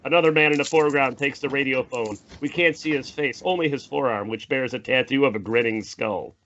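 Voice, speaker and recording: A man's voice: medium pitch, brisk, a bit bright. A man reading screenplay stage directions as narrator, dramatic and emphatic. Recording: dull audio, faint noise and clicks.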